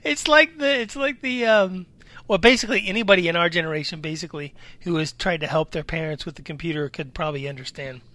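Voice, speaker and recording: A man in a casual podcast chat with a slightly raspy voice, talking loosely and jumping between topics. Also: fairly high pitch, fast, pushed and bright, dry recording. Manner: chatty, jumps between topics. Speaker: podcast co-host. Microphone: home microphone.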